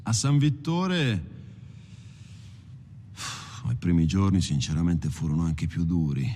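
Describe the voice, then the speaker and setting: A man's voice: deep voice, lively intonation, slow, warm tone. An actor playing a plain-spoken male former prisoner, giving a hesitant, colloquial testimony full of repeated words. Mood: troubled. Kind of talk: film monologue told like casual testimony.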